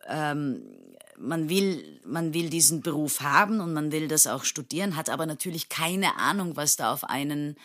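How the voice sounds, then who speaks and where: A woman's voice: deep voice, fairly bright tone. A woman in her late thirties from Turkey, an actress talking casually in an interview, thoughtful, with many fillers and restarts and frequent laughter. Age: 30s.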